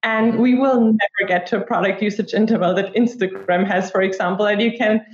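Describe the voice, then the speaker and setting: A woman's voice: mid-range voice, fairly even intonation, fairly quick. A woman in her twenties with an Austrian accent, chatting informally as an interview guest and peppering her answers with filler words like "like". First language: German. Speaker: podcast guest, app marketer. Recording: muffled, roomy remote recording.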